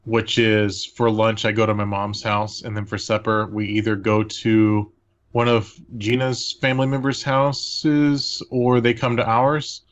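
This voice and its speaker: A man's voice: fairly low pitch, level tone, unhurried. A man hosting a casual podcast chat, recorded with slightly dull, lo-fi audio. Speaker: podcast host.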